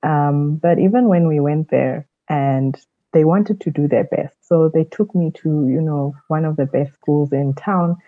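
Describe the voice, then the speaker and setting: A woman's voice: sits low, unhurried, no room sound, narrow, tinny audio. A woman from South Africa answering conversationally as an interview guest on a podcast. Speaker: podcast guest, leadership mentor.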